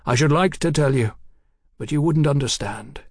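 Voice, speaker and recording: A man voicing a drunk, earnest, educated gentleman who broods and pontificates in an audiobook story. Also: on the high side, normal speed, breathy edge, dry recording, clean studio sound, pitched above the performer's own voice. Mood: guarded.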